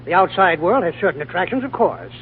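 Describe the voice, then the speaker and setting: A man's voice: high voice, wildly swooping pitch, fast talker, heavily acted. A man playing a frank, easygoing fellow in a 1940s radio drama. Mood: wry. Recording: reverberant narrow-band old radio, faint noise.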